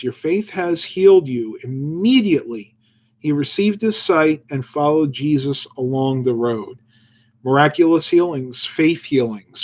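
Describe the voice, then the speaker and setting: A man's voice: medium pitch, a little mellow. A man hosting a livestream, reading Bible verses aloud and then musing loosely about them with fillers, and answering viewers' questions. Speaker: livestream host. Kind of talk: casual devotional livestream chat.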